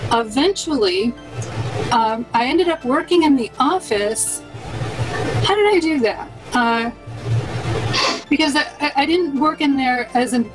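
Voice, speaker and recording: A woman's voice: on the high side, poor audio. A middle-aged American woman casually reminiscing in an interview. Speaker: interviewee, former student co-op member.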